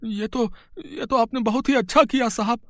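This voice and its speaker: A man's voice: extremely high pitch, steady tone, normal speed, no room sound, clean studio sound. A man playing a hesitant, humble old guard. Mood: relieved. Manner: hesitant, full of "uh" fillers, deferential. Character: humble, kind older male security guard. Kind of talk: storybook voice acting.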